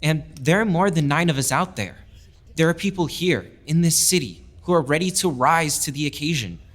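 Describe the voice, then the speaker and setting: A man's voice: fairly high pitch, expressive, normal speed. An actor playing a passionate young lieutenant who speaks up for his people with earnest conviction. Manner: earnest, persuasive speech-making. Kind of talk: audio drama acting.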